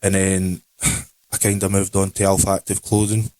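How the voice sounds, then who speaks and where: A man's voice: low voice, moderate pace, dry recording. Scottish man in his twenties, dry sound. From Scotland. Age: 20s. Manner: relaxed, rambling, with Scottish words like aye and wee. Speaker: podcast co-host. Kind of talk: casual chat.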